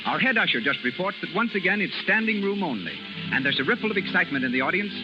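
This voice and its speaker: High-pitched, animated 1930s American radio announcer. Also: male voice, fast. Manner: formal, showmanlike. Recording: dull 1930s radio recording with music.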